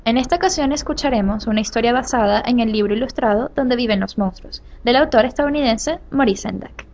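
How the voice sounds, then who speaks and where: A woman narrating a scripted children's story with dramatic pauses and drawn-out phrases. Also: fairly high pitch, darkish tone. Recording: faint background noise.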